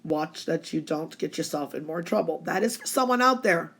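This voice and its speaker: A woman's voice: low voice, lively intonation, normal speed, a bit bright. A woman with laryngitis, giving a chatty, reassuring fortune reading. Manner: chatty, reassuring, keeps saying okay. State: hoarse from laryngitis. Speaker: psychic reader. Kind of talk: tarot-style weekly reading.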